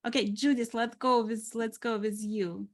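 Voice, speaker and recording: A woman's voice: on the high side, steady tone, normal speed, a little hiss or hum. A woman co-hosting a casual video call party, friendly and organizing, laughing often. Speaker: co-host. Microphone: video call mic.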